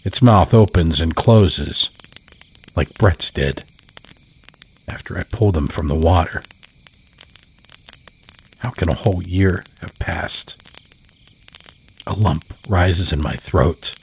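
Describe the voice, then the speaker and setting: Deep-voiced, unhurried male storyteller. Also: a little mellow, a little hiss or hum, low-quality recording. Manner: solemn, measured. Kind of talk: scripted horror fiction narration. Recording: faint night ambience with crickets.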